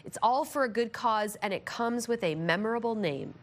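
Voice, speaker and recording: A woman's voice: fairly high pitch, normal speed. A woman reading scripted local news as the anchor.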